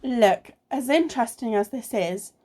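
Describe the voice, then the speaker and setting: A woman's voice: fairly high pitch, lively intonation, unhurried, dark tone. A woman playing a sensible, exasperated amateur sleuth in an amateur radio play, with a dry, sarcastic delivery. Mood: polite, businesslike. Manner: dry, sarcastic, matter-of-fact.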